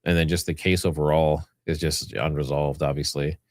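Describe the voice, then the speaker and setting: Low-voiced man hosting a livestream. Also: little pitch movement, normal speed, darkish tone. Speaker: livestream host. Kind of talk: casual livestream commentary, partly reading notes aloud.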